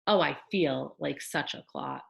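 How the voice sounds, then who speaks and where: A woman's voice: sits low, a little slow, gravelly, full character voice. A woman voicing a clumsy, sensitive male giraffe from a storybook, earnest and openly emotional. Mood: dejected.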